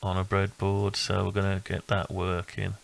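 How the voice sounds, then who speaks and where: Guy with a low gravelly voice rambling thru his project, pretty monotone, thinking out loud. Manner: thinking aloud, halting and rambling. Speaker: electronics hobbyist presenting own build. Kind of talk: casual unscripted project walkthrough.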